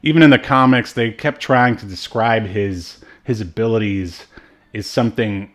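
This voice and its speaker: A man co-hosting a casual film discussion, talking in a halting, rambling way. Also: on the low side, a bit bright. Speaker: podcast co-host reviewing films.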